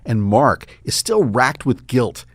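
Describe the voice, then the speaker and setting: Male actor as mock-solemn storyteller, expressive scripted narration for small-town audio comedy. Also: mid-range voice, takes it slowly, no echo, clean studio sound, exaggerated voice. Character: dramatic, tongue-in-cheek storyteller of a small town.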